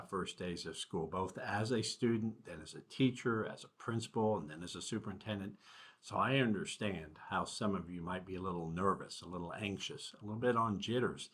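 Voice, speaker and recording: A man's voice: sits low, fairly bright tone, husky. An American man in his fifties, a school superintendent, speaking to children in a conversational, reassuring way during a read-aloud. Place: small indoor office.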